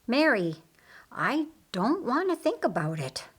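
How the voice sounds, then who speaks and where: Grave, matter-of-fact brother in a Bible audiobook, read by a woman, reluctant, explanatory, measured pace. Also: a little high, big pitch swings, husky, pitched above the performer's own voice, heavily acted. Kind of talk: audiobook character dialogue.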